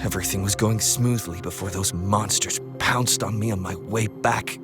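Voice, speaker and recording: An actor playing a wounded young explorer in a video game, weak, strained and halting but stubbornly devoted. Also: male voice, on the low side, lightly acted. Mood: weary, urgent. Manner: halting, labored delivery. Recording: background music under the voice.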